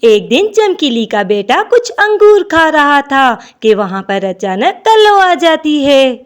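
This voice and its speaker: A woman's voice: sits high, big pitch swings, measured pace. A woman narrating a scripted children's story.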